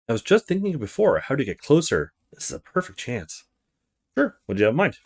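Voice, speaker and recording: Man voicing a friendly young male student, lively intonation. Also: medium pitch, brisk. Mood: agreeable. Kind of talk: visual novel character read-aloud.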